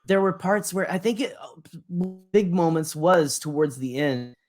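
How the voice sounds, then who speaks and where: A man's voice: on the high side, animated, unhurried. A man co-hosting a podcast in a casual fan discussion, rambling enthusiastically, often trailing off and repeating his words. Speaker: podcast co-host.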